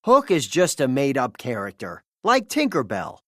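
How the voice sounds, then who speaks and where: A narrator reading a children's storybook aloud in an animated, scripted style. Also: mid-range voice, moderate pace, no echo, studio quality.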